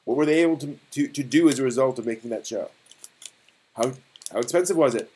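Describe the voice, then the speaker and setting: A man hosting a casual live stream discussion, thinking aloud in a halting way and posing rhetorical questions. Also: medium pitch, warm tone, breathy edge.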